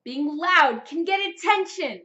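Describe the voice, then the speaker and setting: A young adult woman presenting a scripted vlog of writing tips in an expressive, deliberate public-speaking manner. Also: very high voice, highs cut off. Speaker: vlogger, writer and amateur voice actor. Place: small plain indoor room, seated.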